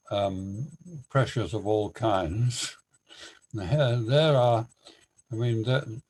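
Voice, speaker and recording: Slow, measured, reflective man. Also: medium pitch, darkish tone, poor audio. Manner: reflective, measured, with long hesitant pauses. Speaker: webinar participant. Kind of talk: spoken reflection in an online discussion.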